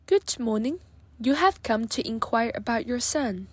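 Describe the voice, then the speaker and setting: A stern college registrar played by a woman in a radio play, haughty and disbelieving. She speaks very slowly in a high voice, recorded very dry. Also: animated, no room sound. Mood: polite. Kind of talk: amateur radio drama acting.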